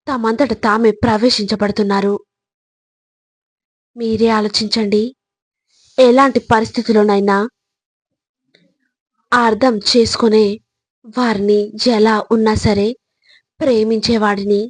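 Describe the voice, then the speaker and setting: A woman narrates a motivational message in a husky voice at an average pitch. She speaks in deliberate, measured phrases with an earnest, exhorting manner and little melodic movement. The recording is dry and close, without room sound. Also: dry recording.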